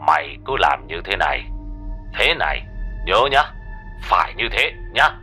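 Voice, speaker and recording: A man putting on a gruff crude older buddy. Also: sits low, very animated, normal speed, bright, forceful tone, soft background music, pitched below the performer's own voice, exaggerated voice. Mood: brusque. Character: coarse joking middle-aged man, brash, crude.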